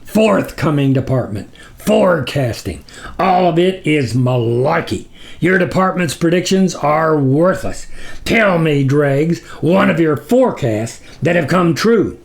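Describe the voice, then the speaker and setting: Booming demon lord, acted by a man, cruel, impatient, scornful, pompous, storybook villain, slow-paced. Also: animated, vocal fry. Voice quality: booming, roaring. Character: cruel thunderous demon overlord, disdainful and impatient.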